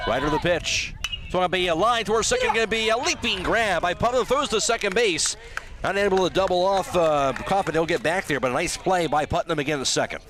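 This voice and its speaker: An American man doing live radio baseball play-by-play, calling each pitch in short, clipped phrases. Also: brisk, noisy background. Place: at an outdoor high school baseball game.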